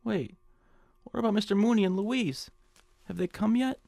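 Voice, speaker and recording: A meek, hapless nebbish in a radio drama. His voice is creaky and dryly recorded, and he sounds anxious and flustered, hesitating and stammering. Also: high voice, normal speed, dark tone, dry recording, studio quality. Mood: puzzled.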